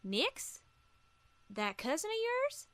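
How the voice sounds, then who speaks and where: A woman's voice: extremely high pitch, wildly swooping pitch, takes it slowly, a bit bright, dry recording, exaggerated voice. A woman voicing a folksy, plain-spoken young female farm pony with a Southern US accent in an audio story. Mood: easygoing, warm. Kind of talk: acted audio story dialogue.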